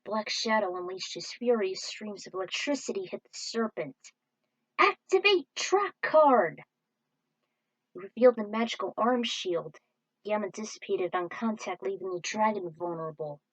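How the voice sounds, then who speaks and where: A woman reading a fan-written story aloud. Her narration is steady and plain, at a middling pitch for a woman. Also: normal speed, dry recording, rough recording. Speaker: reader.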